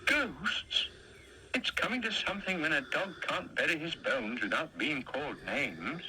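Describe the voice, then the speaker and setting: A gentle, easily upset dog speaks plaintively, as a man puts him on for a storybook. The voice is pitched well up and lively. It sounds bright and clear. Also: measured pace, a little hiss or hum, heavily acted, poor audio. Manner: plaintive, wondering. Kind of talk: storybook reading.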